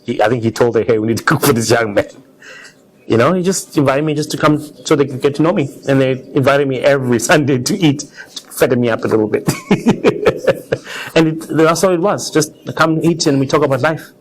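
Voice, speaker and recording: A man's voice: medium pitch, a little fast, slight background noise, rough recording. A man speaking as an interview guest, telling personal stories in an earnest, conversational way with an easy readiness to chuckle. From Zimbabwe. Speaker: interview guest, car designer.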